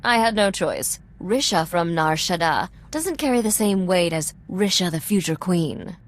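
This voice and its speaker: An actress playing a sardonic, self-assured young smuggler who is also an exiled royal heiress, in video game dialogue. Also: female voice, lowish voice, lively intonation, unhurried. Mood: wry, businesslike. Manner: dry, wry, self-assured.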